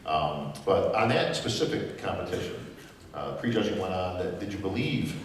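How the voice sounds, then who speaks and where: A man's voice: low voice, sing-song, moderate pace, a little hiss or hum. A man moderating a live panel who jokes and asks long, rambling questions. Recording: echoey hall from far off, faint crowd.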